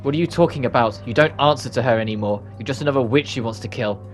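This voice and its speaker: A young witch with a short temper, read by a man. She is brash, suspicious and confrontational in fantasy game dialogue, kept at a medium male pitch. Soft music plays behind. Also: fast, natural voice. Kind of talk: reading game cutscene dialogue.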